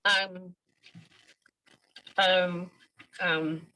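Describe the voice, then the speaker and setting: A woman taking part in a casual group video call, speaking haltingly with lots of fillers. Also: lowish voice, level tone, pushed and bright, low-quality recording. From Japan. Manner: hesitant, many fillers. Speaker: participant.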